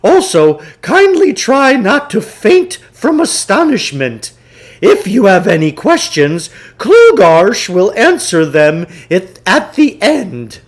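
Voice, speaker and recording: A pompous storybook huckster, acted out by a man reading aloud, pitched very high and projected. He lectures grandly, with big swoops in pitch, as if every idea were a revelation. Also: huge pitch swings, takes it slowly, exaggerated voice. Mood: grandiose lecturing. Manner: grandiose, speaks of himself in third person. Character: pompous showman lecturer selling crackpot mind-control theories. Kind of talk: storybook character voice in a read-aloud.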